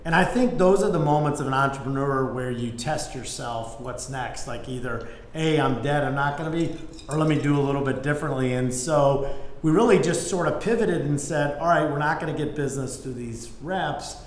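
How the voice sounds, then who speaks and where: A man's voice: mid-range voice, normal speed. Middle-aged man telling stories, echoey room. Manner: informal, peppered with "you know" and "like". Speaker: business executive, interview guest. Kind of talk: conversational anecdotal storytelling. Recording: echoey room, faint background noise.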